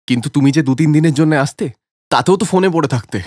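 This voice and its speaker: A man's voice: a little high, fast talker, darkish tone, no room sound, lightly acted. A man acting an aggrieved grown son, dry close sound. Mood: bitter, accusing. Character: bitter grown son rebuking his absent, money-minded father. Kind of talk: dramatic cartoon voice acting.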